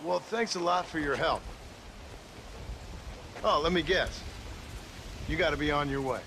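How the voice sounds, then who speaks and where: An actor playing a gruff American cowboy gunslinger in a game cutscene, dry, measured and sardonic with polite menace. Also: male voice, fairly high pitch, bright, forceful tone, slight background noise, full character voice. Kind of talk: video game cutscene acting.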